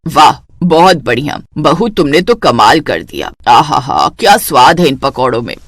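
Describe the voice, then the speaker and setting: Woman acting elderly Indian-family mother-in-law, cartoon story, much lower voice, theatrical, stern. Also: sing-song, normal speed, no room sound, clean studio sound, full character voice. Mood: delighted.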